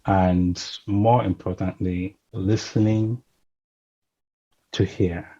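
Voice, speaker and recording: A man's voice: sits low, steady tone, very slow, warm tone, slightly rough, highs cut off. A man talking as a guest, thoughtful, often checking in with "right?" and "you know". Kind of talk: casual conversation.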